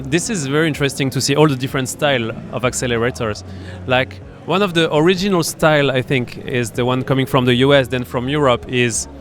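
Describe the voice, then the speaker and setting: A man with a French accent talks through his work as a startup accelerator founder, stopping often with fillers and restarting his sentences. His voice sits at an ordinary pitch for a man. Faint music plays in the background at the startup event where he is being interviewed. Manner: explanatory, halting, with many fillers and restarts. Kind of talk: conversational interview.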